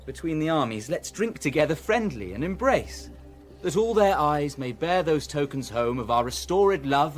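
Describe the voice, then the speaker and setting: A fairly high voice for a proud young prince and general in a radio play. He declaims with cold authority and command, with faint music underneath. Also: fairly bright tone. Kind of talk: classical radio drama acting.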